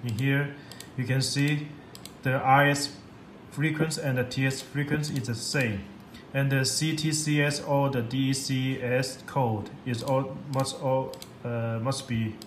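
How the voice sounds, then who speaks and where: Halting man, slow and flat. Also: medium pitch. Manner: halting, repetitive, simple non-native English. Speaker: product demonstrator. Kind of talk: unscripted software tutorial. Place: indoor room at a computer desk. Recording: echoey room with background noise.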